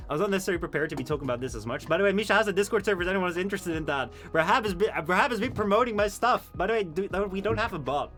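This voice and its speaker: Young man, Dutch native speaker, tired, rambling live-stream chat, fillers and false starts, slightly high pitch, faint music underneath. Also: fairly bright tone. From Netherlands. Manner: rambling, many fillers and restarts, laughs often.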